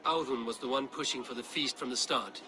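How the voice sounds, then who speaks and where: An actor playing a stern, commanding Viking warrior in a video game, speaking in measured, decisive, terse phrases. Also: male voice, mid-range voice, over music, close to an everyday voice. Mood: deliberate. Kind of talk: cinematic video game acting.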